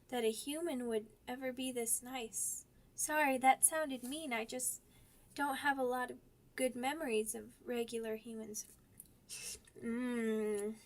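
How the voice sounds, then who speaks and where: Young werewolf pup, ASMR audio drama: very high, slow, halting childlike delivery, sweet and timid. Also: female voice. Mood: curious, chatty. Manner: childlike, halting, stammering, simple words.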